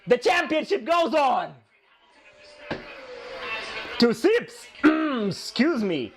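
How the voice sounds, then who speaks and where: Hoarse man, high voice, slow delivery; excitable live race reactor who repeats himself. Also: faint music behind. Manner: excitable, shouting at overtakes, repeating phrases. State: hoarse, losing his voice.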